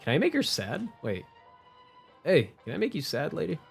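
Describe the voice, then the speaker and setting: A man's voice: on the high side, huge pitch swings, moderate pace, gravelly, slightly rough. Playful young male game streamer. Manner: playful, slangy, joking. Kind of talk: casual live game commentary, reading dialogue aloud. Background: game background music.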